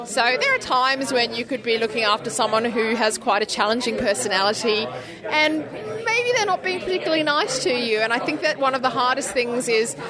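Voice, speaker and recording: An Australian woman with a fairly high voice talks casually as an interview guest. She is thoughtful and articulate, and a busy crowd chatters clearly behind her. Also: lively intonation, a little fast. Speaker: interview guest, clinical trials health professional.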